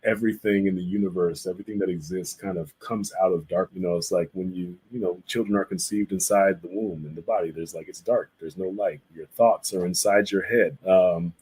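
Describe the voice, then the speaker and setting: A man with a low, husky voice talks casually as an interview guest. He is a visual artist who explains his work informally, reflecting as he goes. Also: fairly even intonation, fairly quick, few pauses, a little mellow, rough recording.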